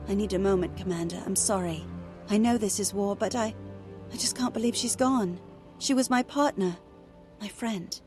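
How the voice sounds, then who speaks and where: A woman's voice: mid-range voice, normal speed, close to an everyday voice. An actress playing an earnest, emotional young plant-folk soldier woman in a video game. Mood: grieving. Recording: music behind.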